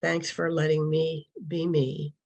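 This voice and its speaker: Give a woman's voice with a creaky, husky edge and a soft, dark tone. She reads devotional verses and affirmations to a congregation, phrasing each line with measured care. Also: sits low, completely flat delivery. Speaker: congregation member reading a service address. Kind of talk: devotional reading, poem and affirmation.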